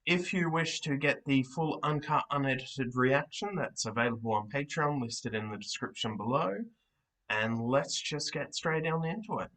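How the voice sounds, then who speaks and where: A man in his thirties hosts a reaction video from his living room. His voice is bright and forward, with a mid pitch, and he chats casually as he comments. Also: normal speed, poor audio.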